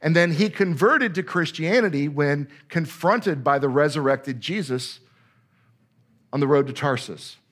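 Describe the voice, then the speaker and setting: A man's voice: on the high side, animated, unhurried, fairly bright tone. Emphatic man teaching a sermon. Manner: emphatic, repeats words for stress; reads scripture aloud then explains it.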